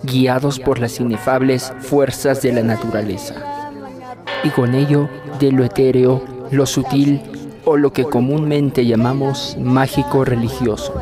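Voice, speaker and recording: A man's voice: medium pitch, level tone, measured pace. A man with a Cusco Peruvian accent, reading scripted poetic narration as a segment host. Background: music.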